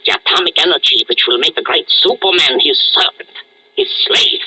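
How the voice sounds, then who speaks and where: An actor playing a ruthless, scheming German scientist with a husky voice and a commanding, grandiose manner in a 1940s-style radio drama. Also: male voice, fairly high pitch, animated, brisk, pushed and bright, full character voice. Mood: gloating, grandiose. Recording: muffled vintage radio recording.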